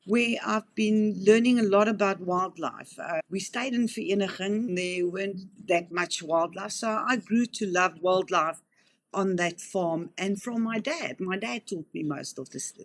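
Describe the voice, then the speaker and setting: A South African woman in her fifties who works in wildlife rehabilitation answers interview questions in an earnest, talkative way, often restarting her sentences. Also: medium pitch. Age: 50s.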